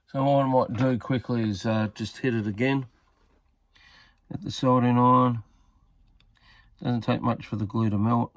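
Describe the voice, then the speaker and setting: Australian male, casual how-to presenter, creaky voice, halting matter-of-fact delivery, close dry sound. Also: mid-range voice, dry recording. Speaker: radio repair hobbyist presenter. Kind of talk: casual hands-on tutorial commentary.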